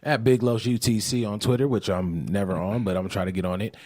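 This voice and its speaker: A man's voice: fairly low pitch, steady tone, fast, darkish tone, no echo. A man co-hosting a podcast with casual, slangy banter and plugs. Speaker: podcast co-host.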